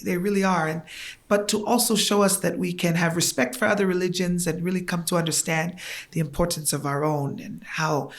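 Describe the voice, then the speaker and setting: Earnest Tongan-raised woman reminiscing. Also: lowish voice. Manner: earnest, reflective storytelling. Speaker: guest. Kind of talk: podcast interview, recounting childhood.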